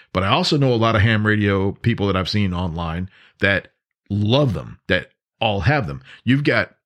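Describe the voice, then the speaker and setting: A man hosting a podcast in a conversational, instructive way, repeating points for emphasis. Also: lowish voice. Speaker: podcast host. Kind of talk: conversational podcast monologue on emergency preparedness.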